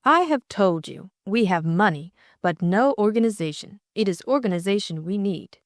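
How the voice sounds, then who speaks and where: A woman's voice: mid-range voice, lively intonation, normal speed, no echo, clean studio sound, natural voice. A woman voicing a fervent, aloof countess in an audiobook drama.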